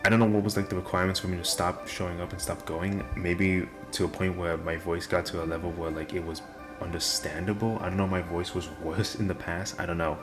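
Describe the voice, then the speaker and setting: A young man with a deep voice and nonstandard R sounds, talking casually and self-deprecatingly to the camera. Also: flat delivery, brisk. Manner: rambling, self-deprecating, many restarts. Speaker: vlogger. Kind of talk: casual rambling monologue. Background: video game music playing.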